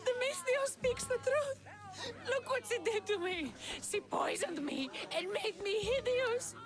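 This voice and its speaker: A woman's voice: very high voice, animated, takes it slowly, a crowd in the background, full character voice. An actress playing a hysterical, vengeful, wronged woman in a video game. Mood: hysterical, pleading. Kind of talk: video game cutscene acting. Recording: music behind the voice.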